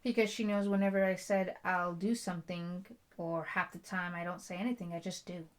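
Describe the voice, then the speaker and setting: A woman's voice: lowish voice, little pitch movement, brisk, natural voice. Headstrong young bride-to-be, blunt and chatty woman acting. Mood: firm. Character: headstrong, stubborn bride-to-be, werewolf prince's future wife. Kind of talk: intimate ASMR roleplay dialogue.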